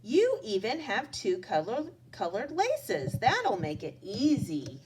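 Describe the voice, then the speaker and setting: A woman's voice: on the high side, wildly swooping pitch, takes it slowly, a little mellow, slightly rough, pitched above the performer's own voice, heavily acted. A woman voicing a patient, helpful young schoolgirl who teaches a friend in a storybook. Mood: eager, helpful. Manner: encouraging, instructive.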